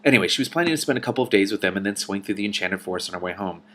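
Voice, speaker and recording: A man's voice: fairly low pitch, animated, rapid-fire, pushed and bright. A man voicing a frank, worried young woman who explains things matter-of-factly in a storybook read-aloud. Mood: worried, explanatory.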